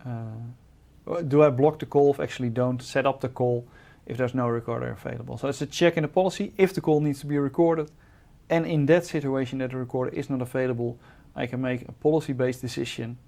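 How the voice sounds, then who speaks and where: Creaky-voiced man explaining technical details. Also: medium pitch, fairly even intonation, fairly quick, warm tone.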